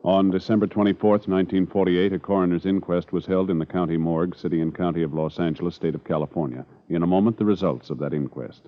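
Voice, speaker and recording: Deep-voiced solemn male radio announcer. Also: fairly even intonation. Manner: solemn, deliberate. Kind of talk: formal scripted 1950s radio announcing. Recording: muffled vintage radio, loud brass music.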